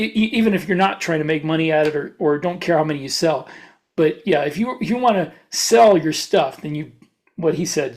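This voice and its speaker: A man with a noticeably high voice chats as a podcast co-host. His delivery is casual and conversational, and he comes across as blunt and opinionated.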